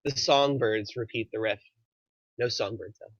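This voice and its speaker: Bright-voiced man reading stage directions. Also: medium pitch, fairly even intonation, normal speed, dry recording, dull audio. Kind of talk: scripted narration at a screenplay table read.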